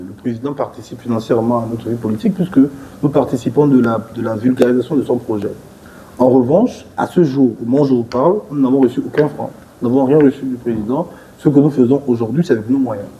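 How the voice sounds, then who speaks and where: Assertive man, dark mellow voice. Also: medium pitch, a little fast, lo-fi sound, slight background noise. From Gabon. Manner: assertive, rising emphatic when arguing. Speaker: party leader. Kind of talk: political TV interview.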